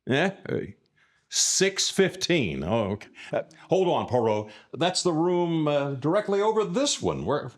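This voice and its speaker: A man acts out an excitable police inspector in a radio play. The voice is fairly high and leaps around in pitch. He blusters and stammers, forever flustered. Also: unhurried. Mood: flustered, urgent. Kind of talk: live radio-play acting. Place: public library live performance before audience.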